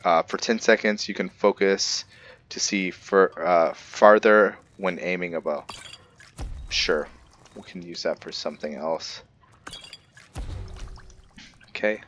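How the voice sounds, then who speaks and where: A man's voice: deep voice, steady tone, takes it slowly, pauses often, fairly bright tone, dull audio, a little hiss or hum. A man giving casual gameplay commentary, thinking aloud and musing to himself as he goes. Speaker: gameplay video host.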